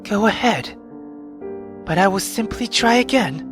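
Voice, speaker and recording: Visual novel servant woman, played by a man: higher register, earnest, insistent, sad but defiant. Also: animated, measured pace, over music. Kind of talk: dramatic visual novel voice acting.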